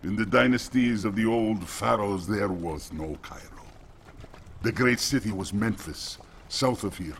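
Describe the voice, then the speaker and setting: An actor playing a proud, courteous Egyptian guide, speaking formally and declaiming as in a radio drama. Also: male voice, on the low side, measured pace, slight background noise. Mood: proud, reverent. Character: proud, courteous Egyptian desert guide, knowledgeable about pharaohs.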